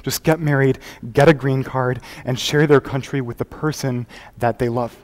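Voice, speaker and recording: A man's voice: medium pitch, steady tone, lightly acted. Young man acting earnest performance artist, echoey hall. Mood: frustrated, pleading. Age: young adult. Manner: theatrical, conversational storytelling with dramatic pauses. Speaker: high school competitive speech performer. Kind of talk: dramatic interpretation monologue on stage. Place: large hall before an audience. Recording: echoey hall, live contest recording.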